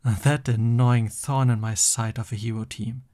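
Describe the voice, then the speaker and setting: A man's voice: mid-range voice, fairly even intonation, unhurried, darkish tone, close to an everyday voice. A man playing a young supervillain boyfriend in an ASMR roleplay, theatrical and boastful but easily flustered and affectionate. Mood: eager, boastful.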